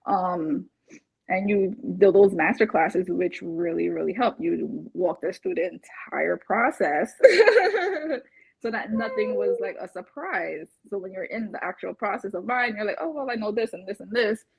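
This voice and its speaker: Husky-voiced woman from Barbados, chatting casually. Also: medium pitch, moderate pace, no room sound. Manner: chatty, laughs often while talking. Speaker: interviewed client, first-time home buyer. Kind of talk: casual testimonial interview. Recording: dull, muffled lo-fi audio.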